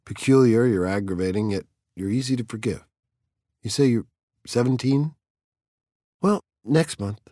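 A man's voice: lowish voice, darkish tone, slightly rough, no room sound, clean studio sound, full character voice. A man voicing a guarded, uneasy male fireman who speaks in terse, defensive replies.

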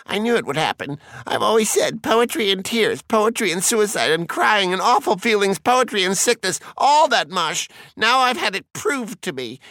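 A man's voice: sits high, pushed and bright, no room sound, voice pushed up, heavily acted. A man voicing an indignant, scornful housewife who scolds with outraged emphasis in an audiobook story.

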